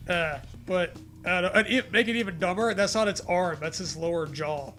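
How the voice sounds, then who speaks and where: A man's voice: high voice, moderate pace, soft background music. A man hosting a dramatic reading of a story, mixed with crude, joking commentary. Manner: profane, jokey, animated reactions.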